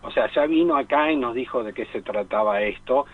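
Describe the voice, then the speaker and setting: A man's voice: mid-range voice, a little fast. A man talking casually as a film expert on a radio programme, digressing and repeating phrases for emphasis. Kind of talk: casual radio discussion of cinema. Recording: tinny phone-like radio line.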